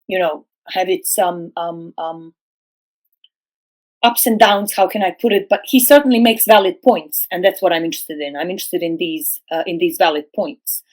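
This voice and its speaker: A middle-aged woman who grew up speaking Serbo-Croatian, a guest philosopher on a podcast, speaking slowly and hesitantly with many fillers and restarts. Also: lowish voice. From former Yugoslavia. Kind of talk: academic podcast discussion.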